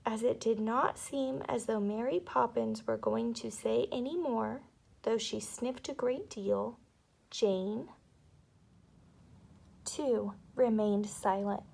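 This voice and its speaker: A woman narrating a children's story aloud with expressive, dramatic delivery. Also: fairly high pitch, slow, vocal fry.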